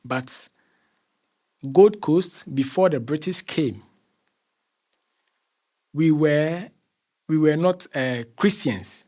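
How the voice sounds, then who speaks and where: A man's voice: a little high, very slow, long pauses, dark tone. A man from Ghana with a Ghanaian accent speaks as an interview guest, explaining conversationally and often repeating phrases as he searches for words.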